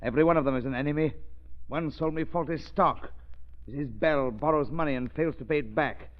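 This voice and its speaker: An actor playing an embittered, secretive millionaire in a 1930s radio drama, formal and intense. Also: male voice, a little high, fairly quick, natural voice. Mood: bitter, brooding. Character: embittered, secretive millionaire plotting cold revenge. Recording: dull 1930s radio recording.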